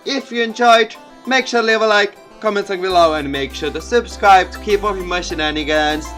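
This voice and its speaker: Higher-voiced man reading a game aloud. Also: brisk, a bit bright, soft background music. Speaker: gaming video creator. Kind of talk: reading a visual novel aloud as narration.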